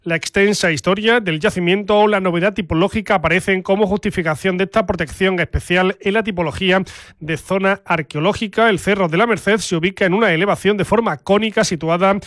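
The high male voice you'd hear reading the regional morning bulletin from a dead-quiet booth. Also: brisk, dry recording, studio quality. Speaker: local radio news presenter. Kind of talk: scripted news reading.

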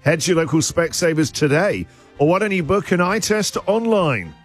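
A man's voice: a little high, animated, moderate pace, breathy edge, soft background music. A middle-aged male radio host, playful and giggly, reading out listener puns in comic banter.

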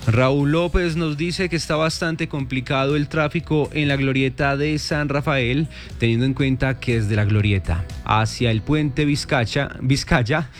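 Lively male radio host with faint music. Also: medium pitch, a little slow, hardly any pauses. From Colombia. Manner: lively, jokey, reads listener messages. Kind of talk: morning radio traffic show.

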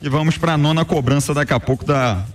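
A man hosting a live football talk show, relaxed and agreeable. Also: a little high, fairly quick, distant mic, faint music behind. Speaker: show host.

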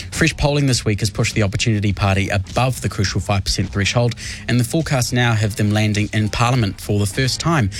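A young man from West Auckland with a New Zealand accent, guest-hosting a morning radio show in an upbeat, easygoing way. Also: medium pitch. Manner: upbeat, easygoing, with Pacific greetings. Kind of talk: radio show presenting and casual banter. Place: radio studio.

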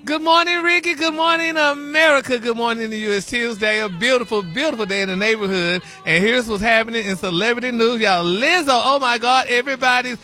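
A man playing a sassy, high-voiced gossip diva. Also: fairly quick, hardly any pauses, sharp tone, faint music behind. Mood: gossipy. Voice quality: flamboyant, sassy, calls listeners honey and baby. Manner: drawling, breaks into giggles. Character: sassy campy gossip persona, male host. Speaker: celebrity gossip segment regular. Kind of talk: campy gossip chat on morning radio.

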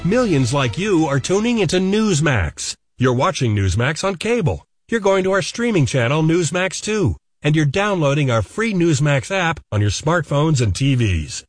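A man's voice: a little high, big pitch swings, normal speed, dry recording, faint music behind. A man reading a scripted commercial in an upbeat, persuasive tone. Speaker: announcer in a commercial. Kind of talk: scripted ad read.

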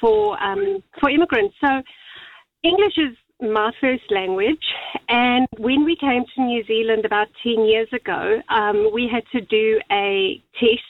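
A woman calling a radio show, calmly giving polite, measured feedback. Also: fairly high pitch, dry recording. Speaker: caller. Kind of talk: talkback call. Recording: tinny phone line.

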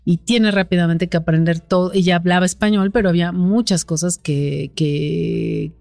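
A Mexican woman author being interviewed, speaking in a reflective, thoughtful way with pauses and restarts. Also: lowish voice, fairly even intonation, creaky, a little mellow, dry recording. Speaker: author, guest. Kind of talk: interview conversation.

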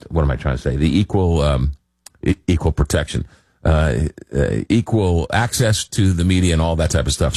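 A man's voice: a bass voice, a little mellow, dry recording. A man hosting a late-night radio talk show, rambling with many fillers and restarts while getting over a cold. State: recovering from a cold.

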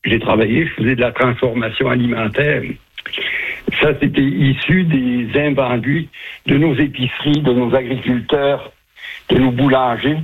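A man's voice: mid-range voice, fairly even intonation, moderate pace, slightly rough. A man in his seventies from southern France, a retired chef chatting and telling stories as an interview guest over the telephone. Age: 70s. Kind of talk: casual interview answers. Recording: muffled, narrow-band phone line.

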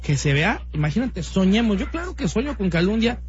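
A man's voice: high voice, big pitch swings, fast. A man from Mexico with a Mexican accent, a radio guest making an emphatic, opinionated case full of rhetorical questions. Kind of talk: casual radio talk. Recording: dull lo-fi radio sound with music.

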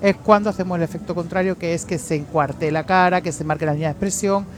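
A middle-aged man from the Canary Islands with a softened Canarian Spanish accent, chatting effusively as a makeup artist guest in a radio interview. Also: high voice, fairly even intonation, quick pace, slight background noise. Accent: Canarian Spanish, somewhat softened.

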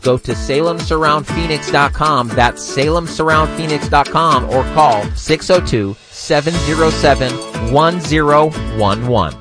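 A voiceover reading a radio commercial in an animated, salesy way. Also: mid-range voice, unhurried, hardly any pauses, music in the background.

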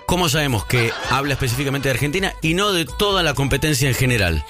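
A man's voice: medium pitch, big pitch swings, fast talker, music in the background. A man with a Rioplatense Spanish accent hosting a radio show, insistently playing devil's advocate. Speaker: radio host. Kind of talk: radio talk debate.

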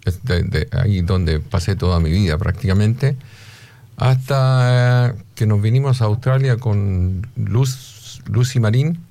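An older man from Chile with a Chilean accent. His voice is low-pitched, dark and relaxed. He talks conversationally as an interviewee, in the manner of someone telling his own story. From Rancagua, Chile. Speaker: interviewee, musician father.

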